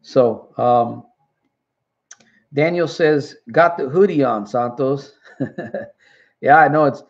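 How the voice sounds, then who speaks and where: A man's voice: medium pitch, slow pace, vocal fry, muffled recording. A middle-aged man from Puerto Rico, raised in Connecticut, hosting a motivational live stream and reading from the chat with earnest, repetitive emphasis. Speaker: livestream host and recovery coach.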